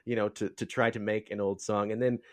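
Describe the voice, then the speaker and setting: A man hosting a casual podcast interview, talking in a halting, rambling way as he feels for words. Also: lowish voice, little pitch movement. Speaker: podcast host.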